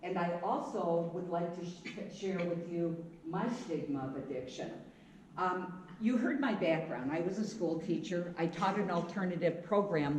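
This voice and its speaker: Woman, middle-aged, talks loud and plain, far from the mic in a big echoey room. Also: fairly low pitch. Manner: frank, loud, conversational. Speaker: speaker. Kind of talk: public talk to a live audience. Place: meeting room. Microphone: none, room mic. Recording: roomy hall, voice from far off, faint noise.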